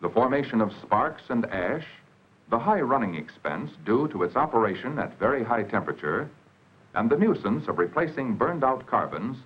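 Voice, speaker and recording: Husky American man narrating vintage educational film. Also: mid-range voice. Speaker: documentary narrator. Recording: dull, band-limited 1940s film soundtrack. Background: old film soundtrack.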